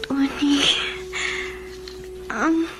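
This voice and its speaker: Slow, high-voiced new mother. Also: music in the background. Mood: weak, tender. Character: weak, grateful, devout young mother after childbirth. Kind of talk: Filipino radio drama acting.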